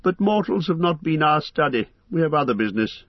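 Teasing elf minstrel, raised pitch, read by a man; husky, dull audio. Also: animated, brisk, no echo. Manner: teasing, laughing banter.

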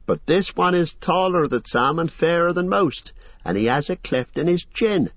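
A man's voice: fairly high pitch, lively intonation, moderate pace, no echo, voice pushed up. A man voicing a fussy, long-winded middle-aged innkeeper in an audiobook, flustered and apologetic. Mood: chatty, apologetic. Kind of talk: audiobook character voice. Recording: slightly muffled audio.

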